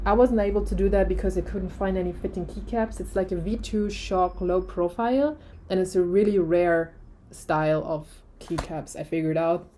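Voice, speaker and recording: A woman's voice: mid-range voice, mellow, a little hiss or hum, rough recording. A young woman with a German accent, whose first language is German, chatting casually in a product review video, with many fillers and restarts. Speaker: vlogger.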